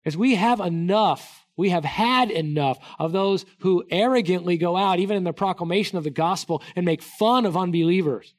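Man, middle-aged, pastor, sermon, slightly high voice, earnest, hushed to emphatic, many restarts. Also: normal speed, few pauses. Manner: earnest, wide swings between soft hushed and raised emphatic delivery; many restarts and repeated words.

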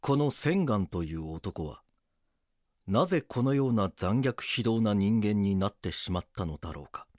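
Guy narrating a true crime drama, lowish, dry studio sound, serious and measured. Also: lively intonation, darkish tone, no echo. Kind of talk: scripted documentary drama narration.

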